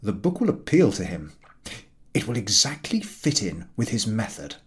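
A man's voice: on the low side, big pitch swings, normal speed. A man voicing a shrewd, scheming London publishing manager in a storybook, with formal, persuasive, confiding speech. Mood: conspiratorial scheming.

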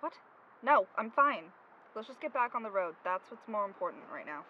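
A woman's voice: mid-range voice, sing-song, moderate pace, narrow, tinny audio, background noise, natural voice. An actress playing a driven, stubborn young woman in grief, speaking insistently in an audio drama. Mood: curt, guarded. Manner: insistent, urgent. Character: driven, stubborn, grieving young woman hunting her missing friend.

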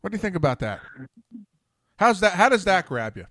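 A man's voice: high-pitched, sing-song, a little fast, fairly bright tone, no room sound, studio quality. A man in his thirties hosting a casual call-in radio show, blunt and rambling, a bit tipsy. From Philadelphia area. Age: 30s. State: tipsy, coughing. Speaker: host.

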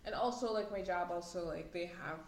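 A woman's voice: lowish voice, brisk, slight background noise. Rambling woman in her late twenties. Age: late 20s. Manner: rambling, lots of fillers. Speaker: podcast co-host. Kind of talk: casual chat. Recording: echoey room, faint ambience.

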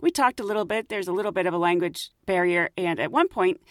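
Woman podcast host, middle-aged, chatty storyteller, animated swooping voice, slightly low, dry close sound. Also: quick pace, no echo. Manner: chatty, laughs often, lively rises in pitch. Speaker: podcast host, flight attendant. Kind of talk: casual storytelling.

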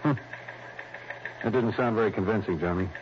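An American actor playing a gruff, suspicious but protective police lieutenant in a 1960s radio drama, insistent and familiar. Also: male voice, lowish voice, moderate pace, lightly acted. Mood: suspicious, earnest. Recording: dull, hissy old radio recording.

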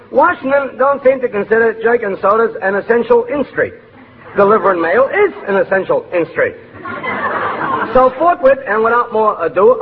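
High-voiced young mailman, old radio. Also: phone-quality sound. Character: earnest, self-important young mailman, formerly a soda-drinking kid. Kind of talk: 1940s radio comedy acting. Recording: thin, hissy 1940s radio recording, long reverb.

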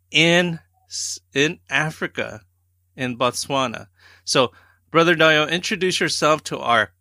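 A man's voice: mid-range voice, pushed and bright, dry recording, clean studio sound. A man hosting a podcast, chatting enthusiastically with his guest. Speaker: podcast host. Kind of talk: podcast interview.

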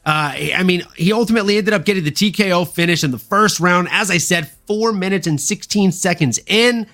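A man's voice: high-pitched, a little fast, sharp tone. A man hosting a sports podcast in an informal, slangy, showman-like way, talking fight fans through the card. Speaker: podcast host. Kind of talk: upbeat sports podcast talk and fight recap.

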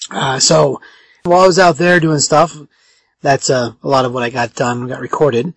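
A man with a raspy voice hosting a podcast in casual chat, halting and often correcting himself. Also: mid-range voice, steady tone, no echo, poor audio. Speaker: podcast host.

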